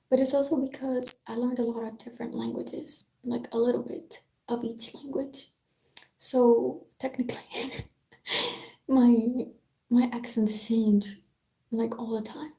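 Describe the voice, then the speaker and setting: Slow, soft-spoken woman with a French accent. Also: sits high, steady tone. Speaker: livestreamer reading a novel aloud. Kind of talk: casual live-stream chat and book reading. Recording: roomy echo.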